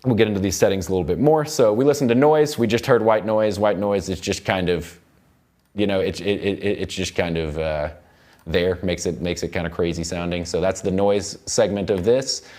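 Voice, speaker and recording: A young man teaching music production in a studio, explaining software in an informal, rambling way. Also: low-pitched, moderate pace. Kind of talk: casual software tutorial. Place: indoor recording studio.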